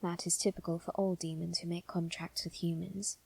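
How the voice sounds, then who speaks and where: A woman playing a calm, probing male demon with quiet intensity, speaking softly and slowly in a scripted ASMR roleplay. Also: fairly low pitch, vocal fry, husky. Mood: calm, solemn. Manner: soft, slow, deliberate, dipping toward whisper.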